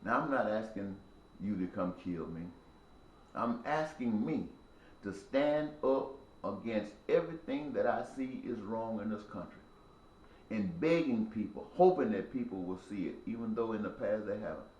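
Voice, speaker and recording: A man's voice: lowish voice, animated, normal speed, darkish tone. Preachy man in his sixties, echoey room. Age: 60s. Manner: preachy, repetitive, emphatic cadence. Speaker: speaker addressing viewers. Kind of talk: impassioned spoken monologue.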